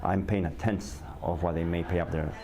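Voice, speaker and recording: A man who works as a surgeon talks casually to an interviewer. His voice is low and deep. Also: steady tone, moderate pace, dark tone.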